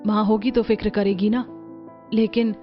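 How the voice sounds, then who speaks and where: A woman's voice: mid-range voice, flat delivery, normal speed, lo-fi sound, faint music behind, close to an everyday voice. A woman voicing a dutiful, defensive young elder sister in a cartoon story. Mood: earnest, wary.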